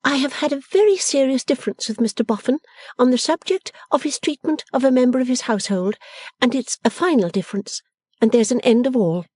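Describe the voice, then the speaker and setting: A woman voicing an affectionate, resolute young woman in a storybook, brisk and coaxing. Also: high voice, darkish tone, no echo, voice pushed up.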